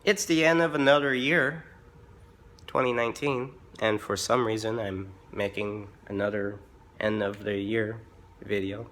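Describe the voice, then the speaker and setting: A hoarse young man who has been sick, talking haltingly with many fillers and restarts in a casual vlog from home. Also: on the low side, steady tone, a bit bright. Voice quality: rough-voiced. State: hoarse from illness. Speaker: vlogger.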